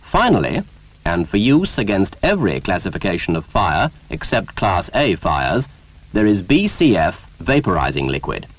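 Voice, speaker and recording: A British man reading a scripted 1970s training film in a formal, measured, emphatic way, as a narrator. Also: deep voice, breathy edge. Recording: dull, lo-fi 1970s 16mm film soundtrack.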